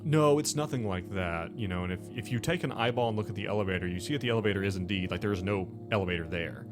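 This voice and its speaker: A man running a tabletop game, narrating off the cuff in a halting, conversational, explanatory way. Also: on the low side. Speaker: game master. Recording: music behind the voice.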